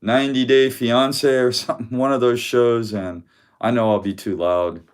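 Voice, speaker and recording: A man chatting loosely on a live stream about his trading card collection, with a loud voice that carries, frequent laughs and many restarts. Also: medium pitch, fairly even intonation, normal speed, clear, resonant tone. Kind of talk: casual live-stream chat. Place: home room with weak Wi-Fi.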